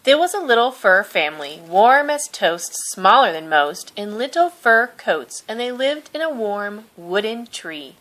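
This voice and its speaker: A woman reads a picture book aloud for children. Her pace is slow and her melody is lively, with gentle, expressive storytelling and drawn-out words. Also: medium pitch. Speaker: storybook reader.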